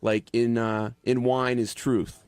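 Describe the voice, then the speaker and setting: A man's voice: medium pitch, level tone, a little mellow, clear, resonant tone, dry recording, studio quality. A man on a radio panel talking casually, stammering and correcting himself as he goes. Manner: halting, stammering, self-correcting. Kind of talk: casual talk.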